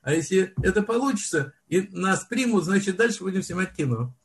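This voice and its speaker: Older guy reminiscing, high husky voice, keeps restarting his words. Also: animated, a little fast. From Soviet Union. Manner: reminiscing, with frequent restarts and word searches. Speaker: film director, interview guest. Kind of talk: unhurried storytelling in conversation.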